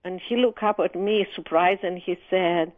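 A woman's voice: on the low side, steady tone, moderate pace. A woman from Romania, a guest author telling her life story in an interview, speaking hesitantly with many ums. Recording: tinny phone line.

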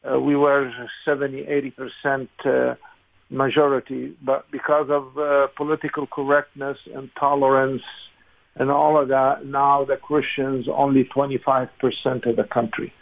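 Over a thin, phone-like line comes an older Lebanese-accented man in his sixties. His voice is gravelly and creaky at a middling pitch, and he answers conversationally, halting often as he searches for the next phrase. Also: flat delivery. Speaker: interview guest, congressional candidate. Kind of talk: conversational interview, recollection. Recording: narrow, tinny phone-like line.

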